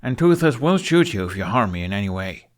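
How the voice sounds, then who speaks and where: A man voicing an earnest, irritable young Viking in an audiobook, speaking in a halting, insistent, exasperated way. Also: on the low side, very animated. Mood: wary, firm. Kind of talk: audiobook character acting.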